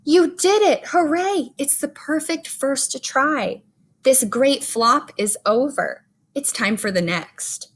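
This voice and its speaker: A spirited old great-great-aunt from a children's storybook, read by a woman, with a fairly high voice and very unhurried delivery. She is expressive and encouraging, an elderly lady who still dreams. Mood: elated, encouraging.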